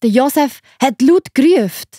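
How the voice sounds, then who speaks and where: A woman with a Swiss German accent narrating a story for children in a simple, expressive way. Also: high-pitched, moderate pace, no room sound, clean studio sound.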